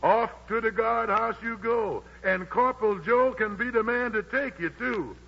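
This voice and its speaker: A blustering petty army sergeant. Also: male voice, high voice, measured pace, creaky, heavily acted. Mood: officious. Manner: blustering, officious, drawling dialect. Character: pompous male army sergeant, petty and flirtatious. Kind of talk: 1940s radio musical drama. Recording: dull vintage 1940s radio broadcast.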